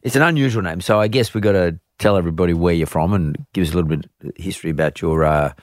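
A middle-aged man hosting an interview podcast, speaking in a measured, deliberate way with restarts and fillers. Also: sits low, no room sound, clean studio sound. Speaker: podcast host. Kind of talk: interview chat with scripted intro.

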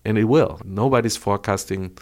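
A middle-aged man from Colombia talks as a podcast guest. His voice sits low and has a gravelly, creaky edge. He explains in long, patient runs and keeps asking "right?" to check the listener is with him. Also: a little fast, darkish tone. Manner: explanatory, frequent tag "right?". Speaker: guest, former auto executive. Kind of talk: podcast interview.

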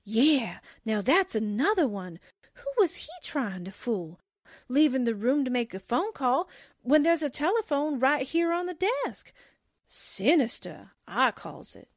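A woman playing a sarcastic, slangy, excitable female sidekick in a radio drama. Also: high-pitched, a little slow, dry recording, clean studio sound, full character voice. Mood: suspicious. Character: wisecracking, jumpy, sarcastic female newspaper sidekick.

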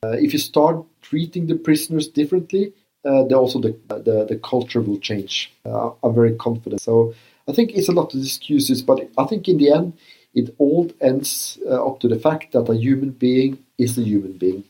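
A middle-aged man talks earnestly in an interview. His voice is mellow and dark, and his pitch sits in the middle. He restarts his phrases often and fills the gaps with "uh". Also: animated, moderate pace, rough recording. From Norway. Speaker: former prison governor. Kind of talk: interview answers.

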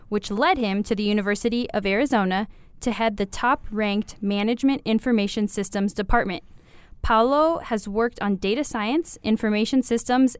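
Upbeat woman co-host, clear voice. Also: mid-range voice, dry recording. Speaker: podcast co-host. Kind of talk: reading a guest introduction.

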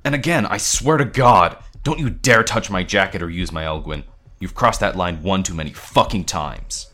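A man voicing a defensive, sharp-tongued young creature tamer in a fantasy story, strained and snappish. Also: low-pitched, moderate pace. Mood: bitter anger.

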